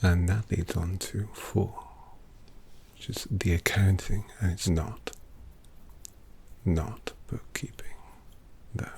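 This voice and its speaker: A man voicing a refined, courteous vampire painter in a whispered ASMR roleplay, polite and quietly menacing. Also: sits low, steady tone, slow pace, pauses often, full character voice. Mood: hushed. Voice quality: soft whispery delivery with gravelly creak.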